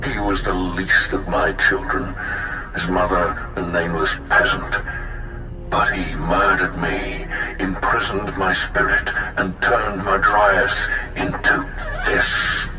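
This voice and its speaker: An actor voicing the spirit of an ancient male Sith Lord in a video game, speaking in a slow, grave, commanding way. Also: low-pitched, steady tone, music in the background. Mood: ominous. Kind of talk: video game cutscene acting. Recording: echoing spirit voice over game score.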